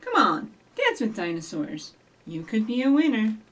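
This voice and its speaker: A middle-aged woman hosting a children's storytime, giving simple instructions and reading rhymes to young children in an animated way, recorded by a camera a few feet away. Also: on the high side, moderate pace, a little hiss or hum. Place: small indoor office or library room with block walls. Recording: distant camera microphone.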